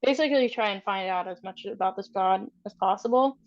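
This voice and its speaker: A woman thinking aloud haltingly and casually as a player in a tabletop roleplaying game. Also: medium pitch, lo-fi sound. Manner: hesitant, halting.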